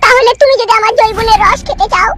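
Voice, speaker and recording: Shrill cartoon wife, rapid-fire, scheming, seductive, over loud music. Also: female voice, a little hiss or hum. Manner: coaxing, wheedling, then commanding. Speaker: cartoon character. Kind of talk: Bengali cartoon comedy dubbing.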